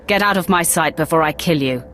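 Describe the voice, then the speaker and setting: A woman's voice: low-pitched, a little fast, fairly bright tone, soft background music. A woman voicing a cold, menacing, self-assured Sith warrior in a video game, formal and clipped. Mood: threatening.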